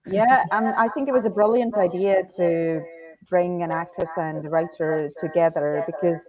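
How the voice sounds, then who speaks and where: A woman speaking casually as a guest in a relaxed group chat. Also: fairly low pitch, normal speed, crowd noise. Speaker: writer, guest. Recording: dull lo-fi sound, people in background.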